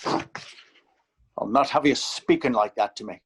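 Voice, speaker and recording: A man's voice: mid-range voice, takes it slowly, husky. A man playing a proud, easily insulted police officer in a readers theater drama, stern and threatening. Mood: angry, offended. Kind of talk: readers theater stage acting.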